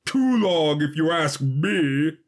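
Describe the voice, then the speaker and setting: A man voicing a pompous high-pitched indignant duke. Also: lively intonation, slow. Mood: impatient, haughty. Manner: pompous, demanding. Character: pompous, indignant aristocratic duke, haughty and impatient. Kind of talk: audio fiction character voice.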